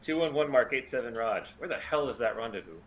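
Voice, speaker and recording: A man reading a gruff, cynical smuggler captain in a sci-fi table read, with faint room noise behind him. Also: mid-range voice, expressive, fast talker, close to an everyday voice, rough recording. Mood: tense, commanding.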